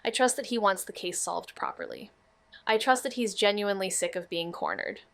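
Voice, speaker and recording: An actress playing a sharp, wry young woman detective in a noir audio drama, with a dry, clipped, probing manner. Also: medium pitch, huge pitch swings, a little fast, vocal fry, no room sound, exaggerated voice. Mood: dry, resolved. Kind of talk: neo-noir audio drama dialogue and narration.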